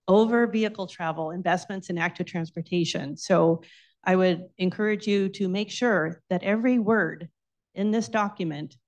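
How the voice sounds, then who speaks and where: A woman speaking as a public commenter at a city meeting. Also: on the low side. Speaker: public commenter, bicycle coalition president. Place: indoor public meeting room.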